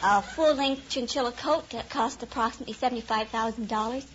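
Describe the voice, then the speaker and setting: A woman's voice: a little high, brisk. An American woman from Kansas chatting good-humouredly as a radio quiz contestant, explaining things a little hesitantly. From Kansas, USA. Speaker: quiz show contestant, chinchilla rancher. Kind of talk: unscripted-sounding interview chat on radio quiz. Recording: dull noisy old radio recording, studio audience.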